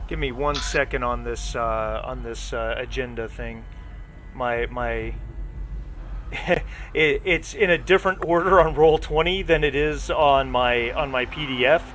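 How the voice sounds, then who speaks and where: A man with a bright, forceful voice runs a tabletop session in a casual way. He speaks haltingly and apologetically over an online call, and faint noise sits behind his voice. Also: mid-range voice. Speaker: game master.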